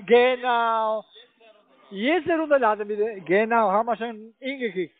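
A man with a strikingly high voice comes through a tinny phone line. He is a call center agent, speaking German. He gives computer instructions haltingly, staying polite while pressing on. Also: dry recording. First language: not German.

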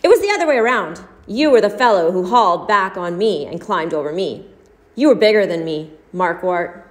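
A woman voicing a calm, stern businessman who answers bluntly and firmly. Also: mid-range voice, moderate pace, lightly acted. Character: calm, stern male businessman, cool and unmoved.

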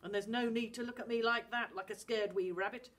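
A woman reading a story aloud, voicing a stern father who scolds loudly. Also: a little high, steady tone, a little fast, voice pushed up. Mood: grave. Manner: loud scolding. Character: gruff Scottish fisherman father, stern.

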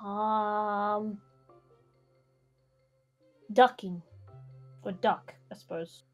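A woman's voice: mid-range voice, fairly even intonation, very slow, soft background music. A woman chatting casually as a player in a group word game. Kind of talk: casual chat.

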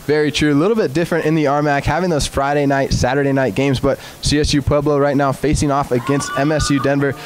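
A man's voice: on the high side, flat delivery, fast talker, few pauses. A young man interviewing a coach after a game in an eager, upbeat way with frequent fillers. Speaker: sports interviewer. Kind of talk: postgame interview.

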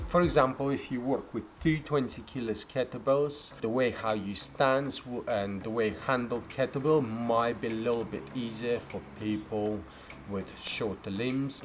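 Man giving practical home-gym tips. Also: mid-range voice. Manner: plain, practical recommendations with non-native grammar. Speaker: fitness vlogger. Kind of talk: casual instructional talk to camera. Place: home room and garden.

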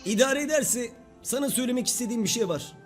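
A man live streaming games with excitable, sweary commentary, often repeating his words. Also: extremely high pitch, fast, faint music behind. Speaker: game streamer.

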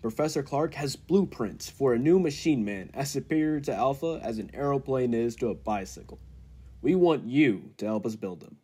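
A man playing an earnest, persuasive laboratory assistant in 1940s radio style, with a husky voice. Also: medium pitch, lively intonation, normal speed, warm tone. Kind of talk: 1940s radio drama acting.

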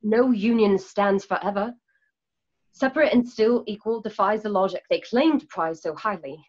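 Radio drama: earnest woman revolutionary, acted by a woman, measured oratory, mid-pitched, dull lo-fi recording. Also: darkish tone, close to an everyday voice. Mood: solemn, earnest. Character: solemn idealistic woman rebel preaching nature and unity. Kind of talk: remote radio play reading. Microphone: video call. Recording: dull lo-fi video call audio.

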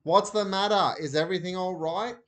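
A man voicing a distracted mother who shouts brusquely down the phone. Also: high voice, a little fast, voice pushed up. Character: loud, distracted mother at a show.

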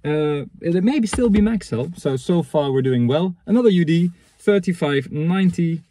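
A man in his thirties chatting casually and unscripted in a halting, matter-of-fact way as he thinks aloud, recorded inside a parked car. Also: on the high side, lively intonation, mellow, dry recording. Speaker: vlogger reviewing secondhand reel-to-reel tapes. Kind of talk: casual unscripted chat, commenting on items as he handles them.

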